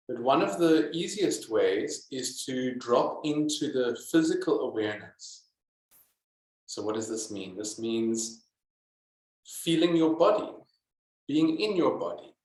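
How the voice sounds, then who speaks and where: A man teaching yoga and guiding meditation in a calm, deliberate manner with many pauses. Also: medium pitch. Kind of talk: guided yoga and meditation instruction. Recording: echoey, roomy sound.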